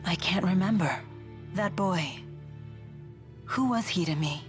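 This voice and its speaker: An actress playing a solemn, troubled young spirit woman who has lost her memory, speaking haltingly in a video game drama. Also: fairly low pitch, music in the background, lightly acted. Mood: confused, searching. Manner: halting, introspective, slow.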